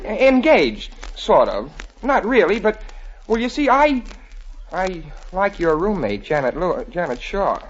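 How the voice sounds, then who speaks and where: A man's voice: high-pitched, very animated, a little slow, full character voice. Flustered, stammering American college boy. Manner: stammering, earnest, awkward. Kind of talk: old-time radio comedy acting. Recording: dull vintage radio recording with audience.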